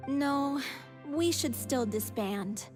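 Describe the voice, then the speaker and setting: A young game character, a performer who is frail yet spirited. Her voice is high and plain-spoken, with faint music underneath. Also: lively intonation, very slow, exaggerated voice. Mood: frank, gentle. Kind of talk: video game voice acting.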